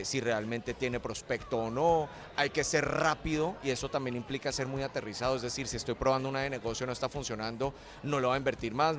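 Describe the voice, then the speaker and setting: A middle-aged man from Colombia with a Colombian accent, a guest in a radio interview, explaining his ideas with sayings and metaphors. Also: medium pitch. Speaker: entrepreneur guest.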